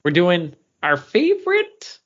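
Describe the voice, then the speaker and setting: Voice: mid-range voice, huge pitch swings, slow pace, a bit bright, dull audio. A podcast co-host chatting casually and steering the conversation.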